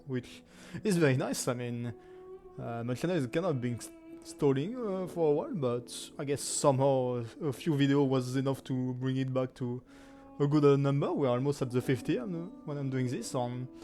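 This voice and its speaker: A man in his twenties with a heavy French accent talks casually and loosely, full of fillers and small restarts. His voice sits mid-pitched with a mellow, darkish tone. Faint music plays softly behind him. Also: moderate pace. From France. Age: 20s. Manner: rambling, many fillers and self-corrections, frequent laughs. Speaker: gaming YouTuber. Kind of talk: casual anniversary chat to camera.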